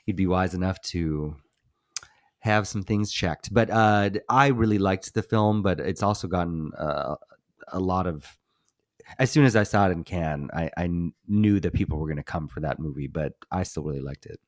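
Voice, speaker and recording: Low-voiced man, easygoing and laughing. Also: normal speed, no room sound, studio quality. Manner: easygoing, laughs often mid-sentence. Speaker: podcast co-host. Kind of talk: casual film review chat.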